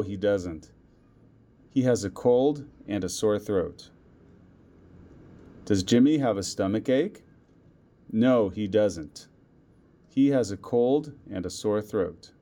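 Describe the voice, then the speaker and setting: A man reading out a school listening test, saying each word and line slowly and deliberately, with every item given twice. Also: fairly low pitch, a little mellow. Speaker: test reader.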